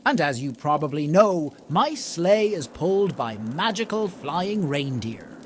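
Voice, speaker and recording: A man telling a holiday story in character, in a theatrical, deliberate way. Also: on the high side, very animated, slight background noise. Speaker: storyteller in a roleplay story event.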